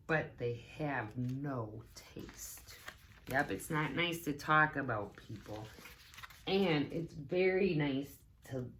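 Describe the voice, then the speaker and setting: Slow, low-voiced woman reading to children. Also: bright, forceful tone. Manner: simple, instructive phrasing aimed at young children. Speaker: teaching assistant reading story time. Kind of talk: children's storybook read-aloud with explanations to kids.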